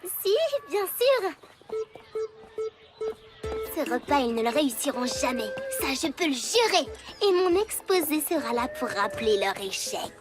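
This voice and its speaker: Brief: snooty little schoolgirl narrator, shrill and high, scornful asides, music under. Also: big pitch swings, a little slow, long pauses. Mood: prim. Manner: sly, sneering commentary. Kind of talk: cartoon dub narration.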